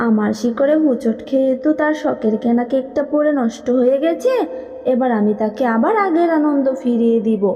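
A woman's voice: high-pitched, a little fast, mellow, muffled recording, natural voice. A woman voicing a kindly, remorseful talking tree in a cartoon, soothing and coaxing. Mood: kindly, promising. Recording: long reverb with music underneath.

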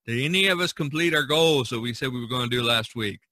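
A man's voice: mid-range voice, quick pace, sharp tone. A man hosting a casual podcast, talking in a rambling, folksy way and repeating himself. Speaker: podcast host.

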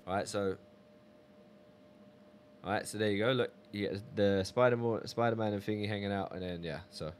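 A man's voice: fairly low pitch, moderate pace, long pauses, slight background noise. A man chatting casually on a game live stream, reading viewer messages aloud.

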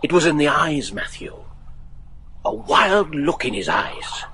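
Foreboding local friend, animated pitch. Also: male voice, takes it slowly, a little hiss or hum, exaggerated voice. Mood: grave, reluctant. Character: foreboding local man, loyal friend warning a father. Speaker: actor. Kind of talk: gothic horror radio drama acting.

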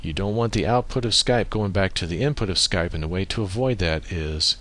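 Deep-voiced man giving a bright software tutorial. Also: brisk. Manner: methodical, explanatory, thinking aloud. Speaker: tutorial presenter. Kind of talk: informal software screen-recording tutorial. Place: indoors at a computer, voice-over on screen recording.

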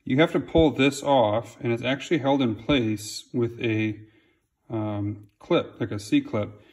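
A man's voice: medium pitch, slow. A man in his home garage, casually explaining a repair step by step while filming close over his workbench. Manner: relaxed, plain-spoken, with ums and restarts. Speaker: home mechanic. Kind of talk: casual how-to narration.

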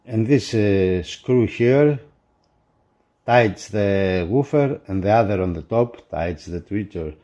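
Slow, mellow man reviewing. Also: fairly low pitch, expressive, lo-fi sound. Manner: halting, broken phrasing in non-native English. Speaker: product reviewer for a magazine. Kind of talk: unboxing commentary.

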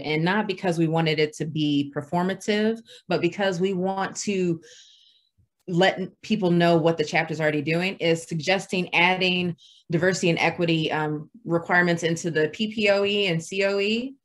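A woman presenter moderating an online roundtable, speaking in a measured, appreciative way over a video call. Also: fairly low pitch. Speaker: webinar presenter.

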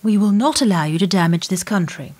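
An actress playing a cool, menacing establishment power broker in a radio play, with a sardonic, condescending and rhetorical delivery. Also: female voice, mid-range voice, animated, a little fast, darkish tone, natural voice. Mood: threatening.

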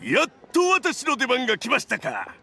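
A man's voice: extremely high pitch, wildly swooping pitch, normal speed, sharp tone, full character voice. A man playing an eager, hot-headed young subordinate in an anime game. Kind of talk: Japanese anime game voice acting.

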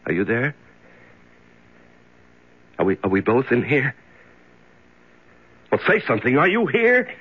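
An actor playing a frightened, bewildered male prisoner in a radio drama, halting and stammering as he repeats himself. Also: medium pitch, huge pitch swings, slightly rough, exaggerated voice. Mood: bewildered, alarmed. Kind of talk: 1970s radio drama acting. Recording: muffled 1970s radio recording, music behind.

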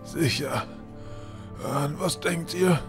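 A man's voice: a little high, takes it slowly, over music. A man playing an irritable, commanding, scornful old lord in a fantasy audio drama. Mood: grumbling. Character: grumpy, imperious old lord of the house. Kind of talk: fan-made fantasy audio drama acting.

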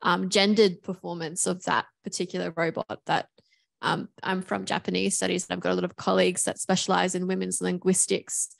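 Gravelly-voiced woman asking questions. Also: fairly low pitch, brisk. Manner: animated, laughs often. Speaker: audience member asking a question. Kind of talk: casual question at a panel.

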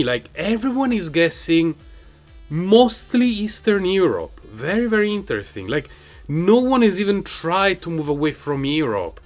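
A man with a non-native English accent chatting casually while playing a game on his couch, rambling and laughing often, into a mic in front of him. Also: on the high side. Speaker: gaming channel host. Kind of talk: casual gameplay commentary. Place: home, on a couch. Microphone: microphone raised in front of him.

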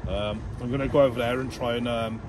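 A man's voice: mid-range voice, steady tone, fast talker, noisy background. A man hosting an angling vlog, talking in a casual, colloquial, easygoing way. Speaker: angling vlogger and host. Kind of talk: casual vlog chat and narration.

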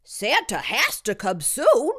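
A woman's voice: a little high, wildly swooping pitch, slow pace, pushed and bright, slightly rough, voice pushed up, exaggerated voice. A woman voicing an eager, self-satisfied young male cat in a children's storybook, earnest and boastful. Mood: hopeful.

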